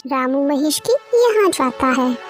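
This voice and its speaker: A woman's voice: sits high, lively intonation, measured pace, over music. A woman narrating a scripted moral story as its storyteller.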